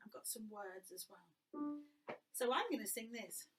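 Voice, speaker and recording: A woman with an English accent, teaching young children to sing in a gentle, encouraging way. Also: mid-range voice, expressive, moderate pace, soft background music. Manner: gentle, encouraging, sings phrases for copying. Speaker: music teacher. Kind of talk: children's singing lesson.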